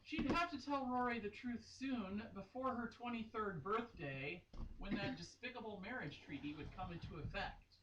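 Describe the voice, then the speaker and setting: A woman's voice: medium pitch, animated, moderate pace, a bit bright, highs cut off. A woman giving a mock-dramatic read-aloud with casual, giggly, exclaiming asides. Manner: giggly, exclaiming, playfully theatrical. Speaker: reader performing a dramatic reading of a romance novel. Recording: echoey room, distant mic, faint noise.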